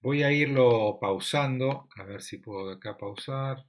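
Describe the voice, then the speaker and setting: A man with an Argentine accent giving a technical medical presentation over slides, explaining in a steady way with frequent fillers. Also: medium pitch, unhurried. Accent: Argentine Spanish. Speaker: lecturer at a medical imaging congress.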